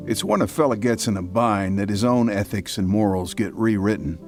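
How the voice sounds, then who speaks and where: A man's voice: on the low side, a little fast, a little mellow, soft background music. A man narrating a story in a folksy way, with homespun sayings. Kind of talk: scripted narration.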